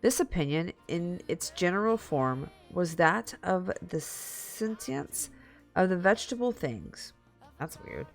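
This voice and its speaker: A woman streamer reading a story aloud in a dramatic, halting way, slipping into casual asides. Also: lowish voice, expressive, creaky, soft background music. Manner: halting, repeats and corrects stumbled words.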